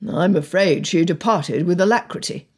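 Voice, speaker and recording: A woman voicing a stern, wry, middle-aged upper-class father with a measured, drawling delivery in a storybook reading. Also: lowish voice, a little mellow, slightly rough. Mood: resigned. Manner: measured, drawling, drily teasing. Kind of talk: dramatised audiobook dialogue.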